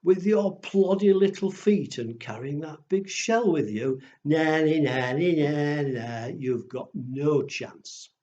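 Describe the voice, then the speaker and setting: A man's voice: on the high side, slow, few pauses, a little mellow, lo-fi sound. A man voicing a boastful, mocking male hare in a children's fable, with a teasing manner.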